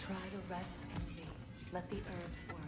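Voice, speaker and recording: An actress playing a caring but firm healer in a video game, speaking earnestly and with measured resolve. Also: female voice, fairly low pitch, level tone, over loud music, natural voice. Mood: soothing, insistent. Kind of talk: dramatic video game acting.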